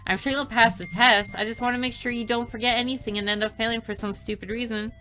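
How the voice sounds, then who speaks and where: Give the game a vain little sister, as acted out by a man, pitched up and bright. She is a cute anime schoolgirl who teases and scolds in a self-satisfied, bossy way. Light music plays underneath. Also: fairly even intonation, fast talker. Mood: nagging.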